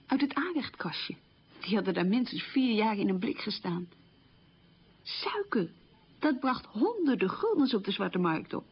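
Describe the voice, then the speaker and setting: A middle-aged woman looking back on hungry war years. Her voice is very husky with a gravelly creak. She tells it plainly and emphatically, as radio drama testimony, on a dull old recording. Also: medium pitch, very animated, a little slow, heavily acted. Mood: grave, bitter. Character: middle-aged woman bitterly recalling wartime hunger.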